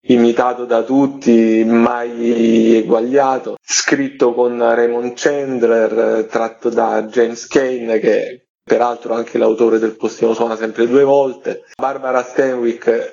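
Middle-aged man, husky voice, medium pitch, chatty radio guest, slightly dull audio. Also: warm tone. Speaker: guest, film festival organizer. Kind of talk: radio interview, explaining a programme.

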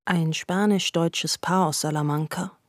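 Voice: mid-range voice, fairly even intonation, unhurried, no room sound. Radio play narrator, scripted literary reading, dry close studio sound.

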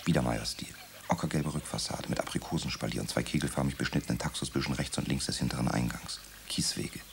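A man's voice: rumbling low, steady tone, quick pace, gravelly, background noise. A man narrating terse, descriptive scene directions for a radio drama.

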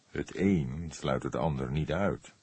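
A man voicing an old police detective in an audiobook, probing and skeptical, with a deliberate, wry way of questioning. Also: very deep voice, a little mellow, slightly rough, no echo, exaggerated voice. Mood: measured, insistent.

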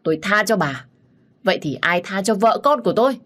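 A woman voicing a grieving, furious young man whose voice trembles and turns hoarse. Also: mid-range voice, expressive, fairly quick, fairly bright tone, voice pushed up.

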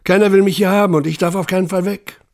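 An actor playing a haunted, self-questioning camp survivor in a literary audio monologue, intense and introspective, with a husky, gravelly voice. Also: male voice, sits high, fairly even intonation, fast talker, darkish tone. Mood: aggrieved, insistent. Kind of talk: literary first-person monologue in audio drama.

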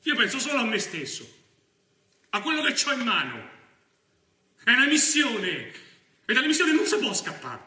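An actor playing an anxious young Roman militant in a theatrical monologue, halting and colloquial, with a Roman accent. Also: male voice, extremely high pitch, wildly swooping pitch, pushed and bright, full character voice. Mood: grim, fervent. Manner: halting, repeating himself, colloquial. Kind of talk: theatrical first-person stage monologue. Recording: roomy stage sound, distant mic, faint noise.

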